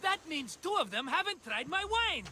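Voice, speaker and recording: A man playing an excitable, scheming merchant in a video game, chatty, dramatic and wheedling. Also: extremely high pitch, moderate pace, slight background noise, heavily acted. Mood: scheming, excited. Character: excitable scheming cheerful male merchant, always broke. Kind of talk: video game cutscene acting.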